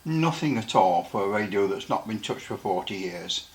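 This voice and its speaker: A middle-aged or older man giving a casual hands-on demonstration, rambling a little, halting and often repeating himself. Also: lowish voice, lively intonation. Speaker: radio hobbyist presenter.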